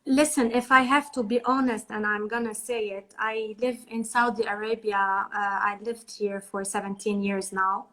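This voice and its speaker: A woman with a Lebanese accent chatting casually as a guest coach, earnest and halting, often repeating words. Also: mid-range voice, flat delivery, a bit bright, low-quality recording. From born in Liberia, French Lebanese. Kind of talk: casual chat.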